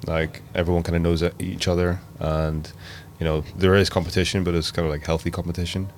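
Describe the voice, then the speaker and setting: A man's voice: sits low, fast, a little hiss or hum. A Northern Irish man in his thirties, a DJ and producer, chatting casually with lots of fillers in an interview. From Belfast, Northern Ireland. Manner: relaxed, rambling, many fillers. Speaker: guest DJ and producer. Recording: music playing underneath.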